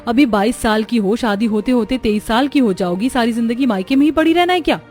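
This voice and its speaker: A woman voicing a resentful, sharp-tongued young married sister-in-law in a cartoon story, in a complaining and scolding manner. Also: on the high side, fairly quick, close to an everyday voice. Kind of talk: Hindi cartoon story dialogue. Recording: music behind the voice.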